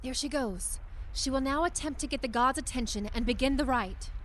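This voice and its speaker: A woman playing a bossy, easily exasperated adventuress in a radio drama, brisk and quick to take offence. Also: high-pitched, fairly bright tone, poor audio. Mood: explaining. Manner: brisk, indignant, expository.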